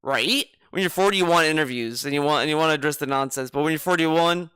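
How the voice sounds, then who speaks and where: A man's voice: a little high, quick pace, bright, forceful tone, smooth, no room sound, studio quality. A man giving mocking, sarcastic commentary while reacting to a clip.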